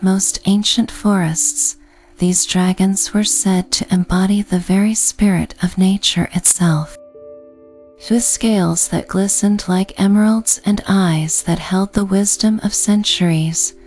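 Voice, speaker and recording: A woman narrating a scripted bedtime sleep story in a gentle, calming, meditative way. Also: mid-range voice. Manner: slow, gentle, calming delivery. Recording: soft music behind the voice. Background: background music.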